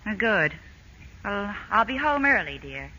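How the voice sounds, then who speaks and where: An actress playing a protective, sarcastic older sister with an authoritative manner, in 1940s radio style. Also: female voice, mid-range voice, sing-song, a little slow, loud music behind the voice. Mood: concerned. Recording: dull, noisy old radio broadcast.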